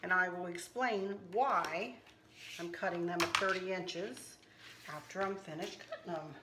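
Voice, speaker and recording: A slightly hoarse woman hosting a casual craft tutorial, chatting and teasing herself as she works. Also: on the low side, a little slow, reverberant, heavy background noise. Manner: chatty, self-teasing, talks while working. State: a little hoarse. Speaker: craft channel host. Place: kitchen counter indoors.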